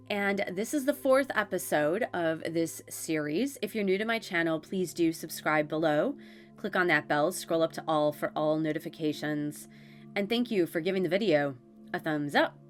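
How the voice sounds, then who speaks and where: A congested woman with a stuffy head cold talks to her viewers in a low voice. She is chatty and pauses with fillers as she explains her channeling. Faint music plays behind her. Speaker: author and speaker, spiritual channeler. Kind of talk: casual talk to camera and reading aloud.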